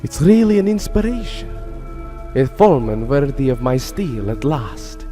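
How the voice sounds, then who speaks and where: A man's voice: mid-range voice, very animated, a little slow, warm tone, faint music behind. A man playing a genteel, menacing aristocratic general in a radio drama, suave and theatrical as he savors his words. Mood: gloating. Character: genteel, menacing male aristocrat general who hunts men.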